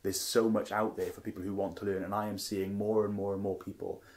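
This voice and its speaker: A man's voice: fairly low pitch, little pitch movement, brisk, darkish tone. A young man from Wales, a parliamentary candidate answering radio interview questions earnestly, often restarting his sentences.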